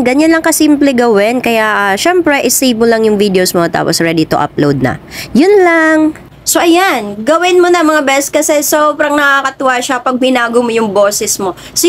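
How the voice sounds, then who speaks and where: A woman's voice: high-pitched, a little hiss or hum. A woman hosting a casual how-to tutorial. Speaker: video tutorial host. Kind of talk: casual spoken app tutorial.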